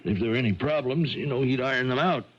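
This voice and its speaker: A man's voice: mid-range voice, fast, lightly acted. An actor playing a worried but reasonable middle-aged father, explaining things hesitantly in a 1970s radio drama. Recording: dull lo-fi 1970s radio recording.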